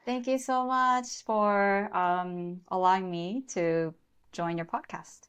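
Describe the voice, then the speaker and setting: A young woman, a podcast guest, answering questions in a soft-spoken, thoughtful way with small fillers. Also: medium pitch, steady tone, takes it slowly, clear, resonant tone. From born in the US, raised in Japan, Korea and the US. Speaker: podcast guest, event planner. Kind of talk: casual interview talk.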